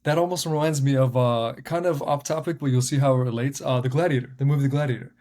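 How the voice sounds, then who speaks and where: A man's voice: medium pitch, steady tone, fast talker, darkish tone. A man hosting a podcast interview, reacting with enthusiasm and asking questions between hesitant fillers. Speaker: podcast host.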